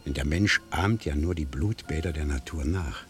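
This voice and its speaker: A man acting a world-weary, philosophising gentleman with bon vivant charm in a 1970s radio play, eloquent and theatrical. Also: very deep voice, normal speed. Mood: resigned, philosophical. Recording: music playing behind the voice.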